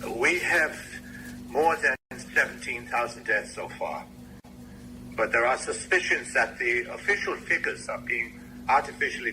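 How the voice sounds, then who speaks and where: Radio drama solemn scientist on a conference call, piercingly bright mid male voice, faint hum. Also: medium pitch. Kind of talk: naturalistic radio drama acting. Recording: faint noisy internet call.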